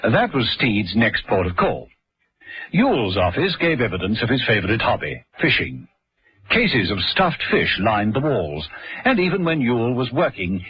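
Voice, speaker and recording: A man's voice: medium pitch, a bit bright, breathy edge, no room sound, rough recording. A man reading scripted narration for a radio drama.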